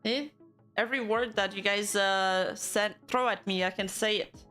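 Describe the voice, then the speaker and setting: A young Canadian woman streams casually, reacting to news and bantering with her chat in a middle-pitched voice. She laughs often and playfully denies whatever the chat teases her about. Soft music plays faintly behind her. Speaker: VTuber commentary streamer.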